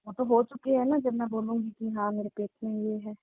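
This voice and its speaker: A woman's voice: a little high, steady tone, fairly quick, no room sound, lightly acted. A woman playing an aggrieved, insistent young woman in a dramatized phone argument, complaining and repeating herself. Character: aggrieved, insistent young divorced woman confronting older lover. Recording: muffled, narrow tinny phone line.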